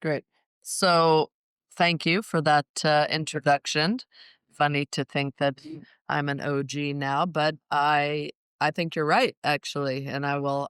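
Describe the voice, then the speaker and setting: A woman's voice: rumbling low, a bit bright, clear, resonant tone, dry recording, studio quality. Playful middle-aged woman yoga teacher. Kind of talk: interview answers.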